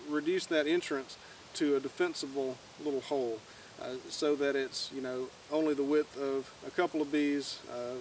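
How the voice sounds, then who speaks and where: Young adult man, slightly high voice, folksy informal tutorial, outdoor background noise. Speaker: beekeeper giving a tutorial. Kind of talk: informal instructional talk to camera. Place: outdoors in a grassy clearing by woods. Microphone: far camera. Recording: distant camera mic, outdoor background noise.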